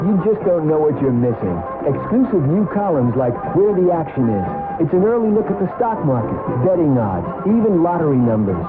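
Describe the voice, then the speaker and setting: 70s american radio ad guy, animated highish voice, music under him, tinny old broadcast. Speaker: commercial announcer. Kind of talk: 1970s radio advertising read. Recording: tinny narrow-band 1970s radio recording.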